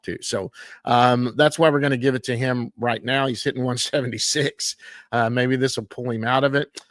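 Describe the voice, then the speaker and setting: A man with a drawl hosts a casual sports podcast, running through minor league box scores. He rambles, leans on fillers and stumbles over tricky names with a folksy, self-mocking ease. His voice sits in an ordinary middle pitch, recorded close in a dry, dead room. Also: a bit bright, no room sound. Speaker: podcast host recapping minor league games.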